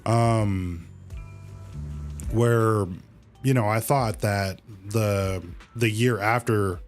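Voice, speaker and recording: Slow-talking, low-voiced man streaming a game. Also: steady tone, soft background music. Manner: addresses viewers as y'all, rambling. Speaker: livestream host. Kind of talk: casual game stream commentary.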